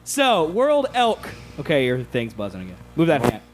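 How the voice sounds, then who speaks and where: A man hosts a talk show in loose, joking banter. His voice sits high and swings widely in pitch, and he keeps teasing his co-hosts. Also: huge pitch swings, normal speed, over music, rough recording. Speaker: radio show host. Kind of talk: casual banter.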